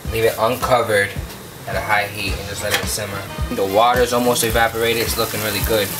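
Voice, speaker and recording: A man's voice: fairly low pitch, level tone, a little fast. Laid-back man teaching cooking, distant mic. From Puerto Rico. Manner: laid-back, chatty step-by-step instructions. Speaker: cooking show host. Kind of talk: casual cooking demonstration. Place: home kitchen. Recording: distant mic under loud music.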